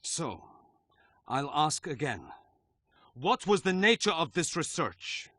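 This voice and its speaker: A man's voice: on the high side, very animated, slow pace, fairly bright tone, dry recording, full character voice. A man playing a threatening, insistent interrogator who demands secrets in a video game cutscene. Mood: coldly probing. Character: menacing male interrogator demanding secrets of a researcher.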